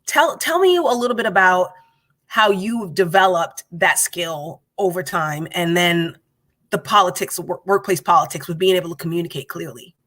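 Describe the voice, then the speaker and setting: A woman's voice: on the low side, moderate pace, fairly bright tone. A woman hosting a casual podcast chat, speaking in an encouraging, rambling way with many restarts. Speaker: podcast host.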